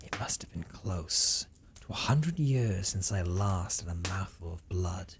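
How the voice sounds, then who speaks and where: A man voicing a centuries-old vampire captor in an intimate audio roleplay, speaking with hushed, theatrical condescension and often dropping toward a whisper. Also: low voice, lively intonation, unhurried, slight background noise. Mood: teasing, savoring. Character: centuries-old male vampire captor, teasing, dominant, wistful.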